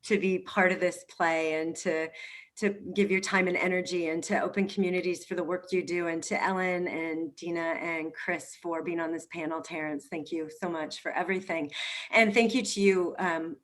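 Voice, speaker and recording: A woman's voice: fairly low pitch, steady tone, normal speed. A woman informally hosting and moderating a panel discussion on a video call. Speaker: event host and moderator.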